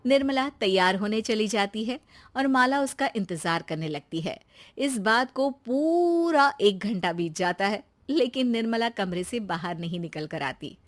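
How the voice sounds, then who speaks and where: A woman's voice: mid-range voice, expressive, measured pace. A woman reading scripted narration for a family moral tale as a storyteller.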